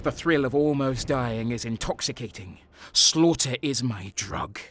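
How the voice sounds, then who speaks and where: A man's voice: medium pitch, lively intonation, unhurried, fairly bright tone, a little hiss or hum. An actor playing a grim, sadistic traitor guardsman who is addicted to slaughter, narrating an audio drama with menacing intensity. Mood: intoxicated, intense.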